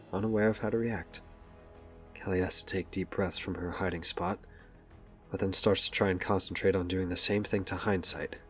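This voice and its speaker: A man narrating a scripted action story as the narrator of an audio drama. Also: low voice.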